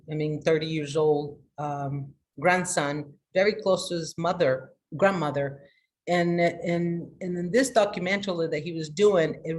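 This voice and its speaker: A woman life coach giving a live motivational talk to her online group. She talks energetically and emphatically, with many restarts, and moves between loud greetings and a soft, confiding tone. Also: deep voice.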